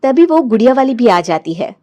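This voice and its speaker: A woman narrating a scripted animated moral story as a storyteller. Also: a little high, a little fast.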